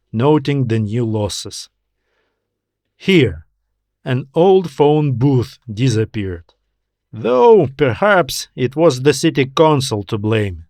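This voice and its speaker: A man's voice: mid-range voice, no room sound, clean studio sound. A man reading a science fiction story as a scripted audiobook narration. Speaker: author narrating own story.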